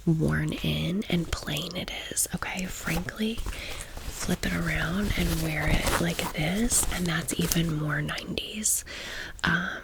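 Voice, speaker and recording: A woman's voice: on the low side, poor audio. Whispering woman in her thirties, ASMR storyteller. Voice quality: breathy, unvoiced whisper. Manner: informal, confiding, talks directly to the listener. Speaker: ASMR video host.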